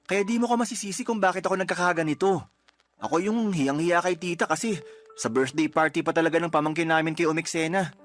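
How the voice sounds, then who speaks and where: Slightly high-voiced, patient young boyfriend played by a man. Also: quick pace, fairly bright tone, close to an everyday voice. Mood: reproachful. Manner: earnest, placating, mildly reproachful. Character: patient, reasonable young boyfriend, gently scolding. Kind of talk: Tagalog radio love-story drama acting.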